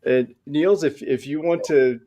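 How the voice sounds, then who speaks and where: A man's voice: a little high, steady tone, a little slow, mellow. A man hosting a live online show in a casual, conversational style of introduction.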